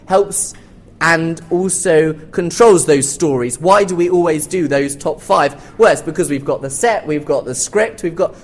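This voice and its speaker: A woman's voice: deep voice, measured pace, darkish tone. A British woman on a discussion panel, explaining her opinions in an articulate, informal way. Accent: British English. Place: theatre, live panel after a show. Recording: live theatre recording with background noise.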